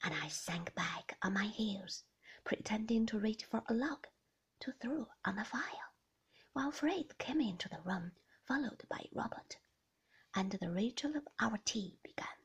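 A woman narrating a novel in a hushed, intimate whisper, as a whispered audiobook reading. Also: a little high, expressive, measured pace, highs cut off. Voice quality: breathy whisper.

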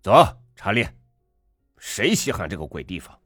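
A man reading a story aloud, voicing a brash, loud middle-aged father who bullies waiters with sarcasm. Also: low voice, sing-song, moderate pace, husky, no echo, clean studio sound, faint music behind, full character voice. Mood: mocking.

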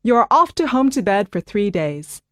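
A woman reading a calm doctor. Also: medium pitch, no room sound, studio quality, close to an everyday voice. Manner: clear, measured, reassuring delivery. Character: calm reassuring female family doctor. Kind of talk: scripted dialogue for English listening practice.

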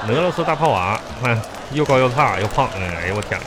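A man's voice: medium pitch, expressive, a little fast, noisy background, lightly acted. A man acting a cheeky, henpecked, wisecracking husband with a Northeastern Chinese accent in a radio comedy sketch. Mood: teasing. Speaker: radio comedy show host. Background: music.

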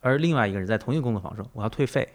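A man's voice: fairly low pitch, expressive, rapid-fire. A man hosting a podcast, musing philosophically in conversation, emphatic, with repetition and rhetorical questions. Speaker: podcast host.

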